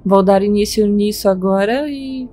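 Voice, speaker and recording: A woman's voice: mid-range voice, moderate pace, mellow, natural voice. A woman playing a composed, wry superintendent in an audio drama, with a dry delivery. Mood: businesslike.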